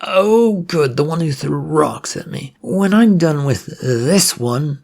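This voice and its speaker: A narrator putting on a vengeful male brawler from an adventure tale. He is threatening and husky, with a gravelly edge to his menace. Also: medium pitch, lively intonation, takes it slowly, darkish tone, exaggerated voice. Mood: menacing.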